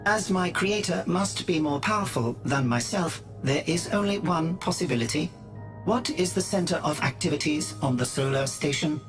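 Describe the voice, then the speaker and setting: An actor in a radio drama playing a self-assured robot prophet, coolly logical and devout, speaking in a formal, measured, preaching way. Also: male voice, fairly high pitch, lively intonation, over music, natural voice. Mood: devout, superior.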